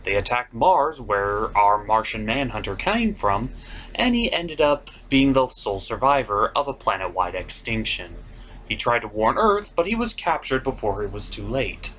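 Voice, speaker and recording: A man's voice: medium pitch, very animated, moderate pace, fairly bright tone. A man giving a sarcastic comedic recap as a review host, with a sardonic, exasperated manner. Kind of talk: sarcastic episode recap commentary.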